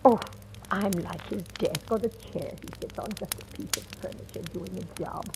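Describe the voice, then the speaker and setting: A woman's voice: lowish voice, normal speed, vocal fry. An actress playing a blunt, bitter, resigned household woman in old-time radio drama style. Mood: resigned, hushed. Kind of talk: 1940s-50s radio drama acting. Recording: old radio recording, faint noise.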